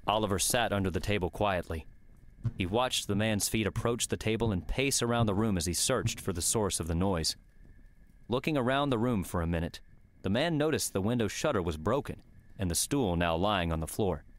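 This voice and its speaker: A man's voice: on the low side, animated, moderate pace. A man narrating an adventure novel as a scripted audiobook reading. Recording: faint background noise and ambience.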